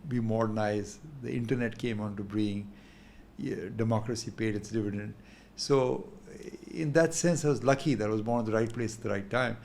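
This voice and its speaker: A man in his sixties with an Indian English accent, talking thoughtfully as a guest in an unhurried interview. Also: lowish voice, gravelly, darkish tone, a little hiss or hum. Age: 60s. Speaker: guest investor. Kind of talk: candid interview talk.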